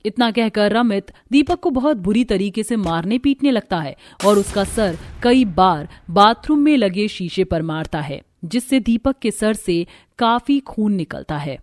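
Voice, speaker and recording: A woman narrator reading a scripted animated horror story. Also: mid-range voice, measured pace, hardly any pauses.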